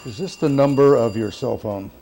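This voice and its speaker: A man acting as a calm, persistent, skeptical police interrogator, probing in an understated film style. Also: medium pitch, normal speed, vocal fry, natural voice, poor audio. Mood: pressing, skeptical. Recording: faint background noise.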